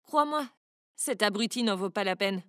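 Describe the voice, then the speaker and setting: A woman's voice: medium pitch, animated, normal speed, a bit bright, dry recording, studio quality, pitched below the performer's own voice, natural voice. A woman voicing a protective young man, a stern older brother, speaking firmly. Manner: stern, firm.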